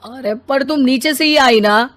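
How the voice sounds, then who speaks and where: A woman voicing a grumpy, demanding husband and father in an animated family story, complaining and dismissive. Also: sits high, a little slow.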